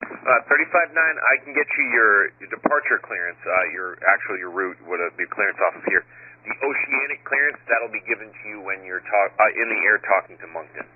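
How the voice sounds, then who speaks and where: Husky low male controller on thin radio. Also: lively intonation, fairly quick. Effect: air traffic radio. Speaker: ground air traffic controller. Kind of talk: radio clearance talk, conversational. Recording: thin, narrow air traffic radio.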